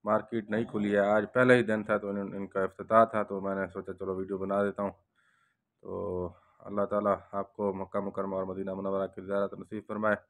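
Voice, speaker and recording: A young man from Pakistan vlogging inside a bakery, speaking slowly and devoutly and repeating blessings, recorded on a handheld phone. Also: on the low side, monotone. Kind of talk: casual walk-through vlog with prayers. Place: bakery shop indoors.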